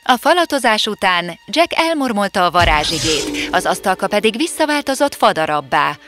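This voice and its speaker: A woman reading scripted narration for an animated fairy tale, with an expressive storytelling lilt. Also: medium pitch, music in the background.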